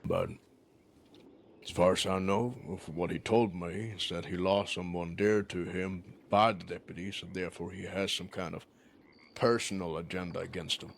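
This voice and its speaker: A man playing a thoughtful, observant Old West character in improvised roleplay, speaking in a deliberate, reflective way. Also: sits low, fairly even intonation, vocal fry, slight background noise.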